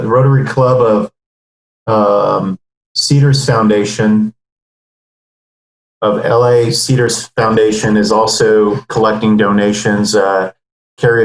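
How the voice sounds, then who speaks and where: A man's voice: fairly low pitch, reverberant, rough recording. A man moderating a webinar over a video call, talking loosely with many ums and uhs. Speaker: webinar host.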